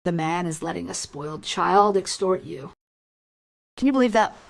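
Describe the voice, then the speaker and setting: A woman's voice: fairly low pitch, lively intonation, moderate pace, gravelly. A woman playing a haughty, defensive stepmother in a crime radio play, cool and dismissive. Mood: scornful.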